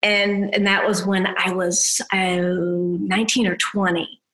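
A woman in her fifties from New Hampshire, a photographer chatting as an interview guest, talkative and quick to laugh. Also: on the low side. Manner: chatty, laughs often, lively quoted voices. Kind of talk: casual interview chat.

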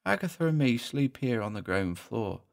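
A man's voice: medium pitch, very animated, normal speed, gravelly. A man voicing a meek, hesitant middle-aged innkeeper in an audio story. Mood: uneasy, halting. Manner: hesitant, plain-spoken. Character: short, meek middle-aged male innkeeper, nervous and halting.

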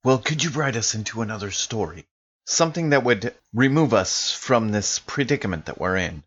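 A man's voice: lowish voice, animated, normal speed, fairly bright tone. A man playing a tabletop role-playing game, speaking in character, on a dull lo-fi recording.

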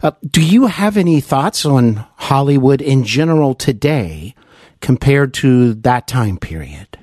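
A man hosting a conversational celebrity interview in an effusive, reverent manner with halting phrasing. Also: medium pitch, very animated, a little mellow, no echo, studio quality. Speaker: interview show host.

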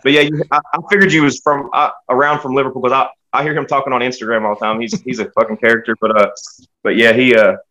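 A man from the United States talks casually as a guest musician. His delivery is quick and rambling, with folksy turns of phrase and a stop-start flow. The audio is dull and lo-fi. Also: medium pitch, little pitch movement, pushed and bright. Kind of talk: casual chat.

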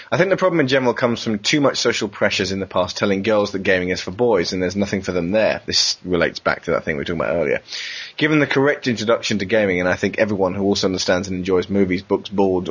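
Man, co-host, lowish husky voice, casual chat and read-outs, dull recording. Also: fairly bright tone. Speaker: podcast co-host. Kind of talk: casual panel talk, reading out listener messages. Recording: dull lo-fi podcast audio.

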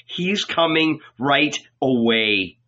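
A boastful, sullen man from a biblical audiobook tale, acted by a male reader. He blusters hot-bloodedly in a sharp, medium-pitched voice. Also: animated, takes it slowly, muffled recording.

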